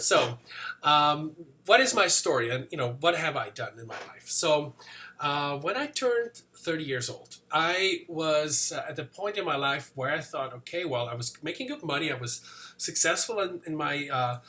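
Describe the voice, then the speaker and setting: A man's voice: a little high, sharp tone. A man in his forties from Switzerland with a slight Swiss accent, giving a casual motivational talk to camera, full of fillers and restarts. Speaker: business coach.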